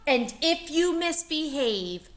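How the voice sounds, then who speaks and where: A woman's voice: sits high, sing-song, takes it slowly, fairly bright tone, voice pushed up, heavily acted. A woman voicing a nasty, strict substitute teacher in a storybook, snapping out clipped commands. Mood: strict, threatening. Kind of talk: storybook character voice.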